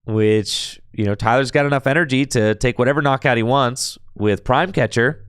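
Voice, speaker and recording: A man with a hoarse voice, calling a card game tournament stream in measured play-by-play. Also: medium pitch, no room sound, clean studio sound. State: hoarse, losing his voice.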